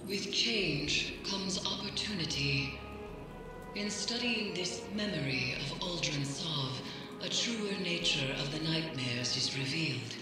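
An actress playing a solemn, brooding mystic woman in a video game, speaking in a grave, deliberate, cryptic way. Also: deep voice, expressive, loud music behind the voice. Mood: solemn, foreboding. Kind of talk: dramatic video game voice acting. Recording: cavernous reverb with noisy game ambience.

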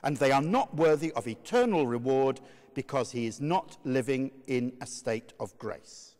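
A man reading a sermon as narrator in a measured, emphatic, oratorical way. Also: mid-range voice, expressive, darkish tone. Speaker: narrator, reader. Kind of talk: dramatic reading of a medieval sermon. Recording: long reverberant hall echo.

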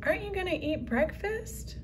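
A woman reading the lines of an excitable young girl in a storybook comic. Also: very high-pitched, normal speed, slight background noise, pitched above the performer's own voice. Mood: eager.

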